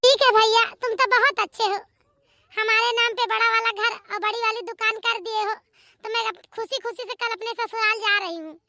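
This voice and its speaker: A very high, sharp young woman's cartoon voice acted out by a woman, recorded dry and close. She plays an emotional married sister, pleading and melodramatic, stretching her appeals to the family. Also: steady tone, moderate pace, no room sound, full character voice. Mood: grateful. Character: young married sister, emotional, demanding then tearfully repentant. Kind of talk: melodramatic Hindi cartoon family comedy voice acting.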